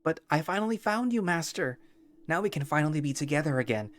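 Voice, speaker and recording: Man voicing a clingy, devoted furry creature for an audio story; high, bright, eager. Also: animated, fast talker, lightly acted. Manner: chipper, pleading.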